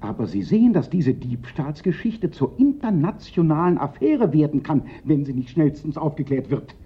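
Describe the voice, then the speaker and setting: An actor playing a fretful, self-pitying police prefect of Paris in a German radio play, theatrical and given to panicky lament. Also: male voice, a little high, sing-song, normal speed. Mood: desperate, pleading. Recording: dull, lo-fi radio play recording.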